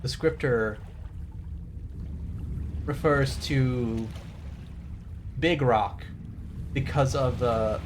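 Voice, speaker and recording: A man's voice: medium pitch, very slow, pauses often, noisy background. A man giving casual livestream game commentary, digressive and wry as he explains trivia. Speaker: game streamer.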